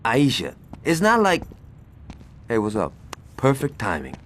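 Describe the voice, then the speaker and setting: Cocky gang lieutenant, swinging pitch. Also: male voice, huge pitch swings, measured pace, fairly bright tone, a little hiss or hum. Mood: flippant, irritated. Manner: cocky, swaggering, crude. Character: brash, foul-mouthed young male street gang lieutenant. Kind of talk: video game cutscene acting.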